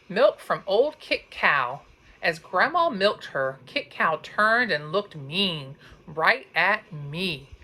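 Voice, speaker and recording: Slow, animated woman reading a storybook. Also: sits low. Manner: dramatic, drawn-out emphasis on sound words and counting. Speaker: teacher reading aloud. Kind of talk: expressive children's storybook narration.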